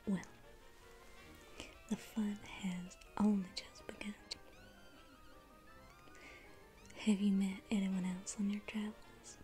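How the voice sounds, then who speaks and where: A young woman playing a sweetly menacing female circus clown in an ASMR roleplay, coaxing and teasing in a breathy whisper. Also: medium pitch, flat delivery, a little slow, warm tone, close to an everyday voice. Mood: playful, sly. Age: young adult. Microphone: close ASMR microphone. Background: music.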